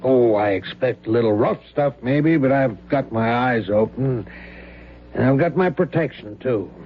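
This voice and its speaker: A man's voice: mid-range voice, unhurried, a little hiss or hum, lightly acted. Stubborn working man played by a male actor, firm and principled, vintage radio drama, dull humming recording. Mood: resolute, worried. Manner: plain-spoken, firm. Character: determined, principled union mechanic, a stubborn family man.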